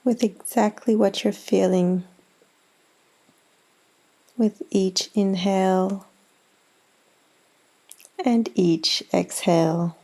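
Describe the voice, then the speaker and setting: A woman leading a guided meditation in a gentle, soothing, unhurried manner with long pauses. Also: medium pitch, steady tone. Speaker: yoga nidra meditation guide.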